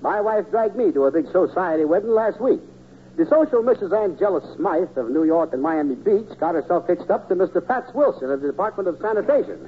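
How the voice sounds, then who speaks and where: An actor playing a pompous, fussy fellow who mangles fancy words, prim and earnest, in a 1940s radio comedy. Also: male voice, high voice, expressive, a little fast, phone-quality sound. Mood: prim, self-important. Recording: thin, hissy old radio recording.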